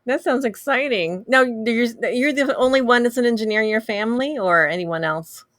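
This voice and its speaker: Woman host asking halting questions. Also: fairly high pitch, fairly bright tone, no room sound, clean studio sound. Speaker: interview host. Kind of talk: casual interview.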